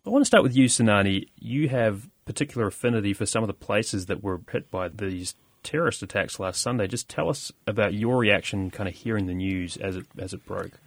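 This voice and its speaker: A man hosting a news interview, asking questions in a measured, calm way. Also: fairly low pitch, dry recording. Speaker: host.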